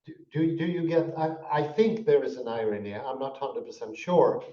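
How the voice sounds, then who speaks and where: A man's voice: medium pitch, lively intonation, moderate pace. A Swedish man in casual discussion, musing and repeating words as he thinks. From Sweden. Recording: distant, muffled, echoey.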